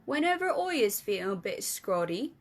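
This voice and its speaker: Woman reader as a big, kindly storybook giant: muddled grammar, made-up words, soft and often whispery. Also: mid-range voice, very animated, normal speed. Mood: gentle. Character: big friendly male giant, gentle, odd grammar.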